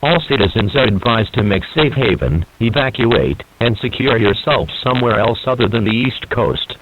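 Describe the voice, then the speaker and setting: A man's voice: mid-range voice, lively intonation, moderate pace. A man reading a scripted emergency broadcast alert as an announcer, in a formal, solemn manner. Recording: noisy, muffled band-limited radio broadcast.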